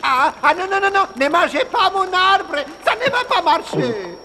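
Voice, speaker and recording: Greedy whiny cartoon italian guy, high voice all over the place, bumbling, music behind. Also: big pitch swings, normal speed, heavy background noise. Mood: panicky, whiny. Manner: hammy muttering, whining, chuckles. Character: bumbling greedy vain Italian male fortune-seeker, whiny, cowardly. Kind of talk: broad cartoon comic acting. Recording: hall reverb, music behind.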